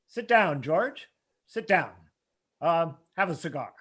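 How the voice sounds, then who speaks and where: Man playing slow, high-voiced, domineering old tycoon. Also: big pitch swings, fairly bright tone, breathy edge, heavily acted. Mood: smooth condescension. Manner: imperious, deliberate, condescending. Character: domineering, scheming rich old businessman, radio villain. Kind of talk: old-time radio play acting.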